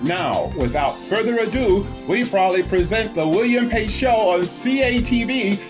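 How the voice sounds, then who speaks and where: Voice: mid-range voice, lively intonation, measured pace. An announcer reading a grand, rhythmic show introduction over music. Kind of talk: scripted promo narration.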